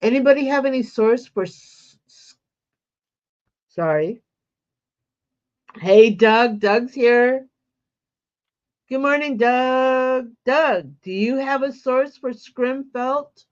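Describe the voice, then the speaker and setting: Woman host, stuffy with a cold, unhurried friendly chatter, slightly dull lo-fi sound. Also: high voice. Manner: chatty, greets viewers by name. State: congested with a cold. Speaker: embroidery livestream host. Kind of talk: casual livestream chat.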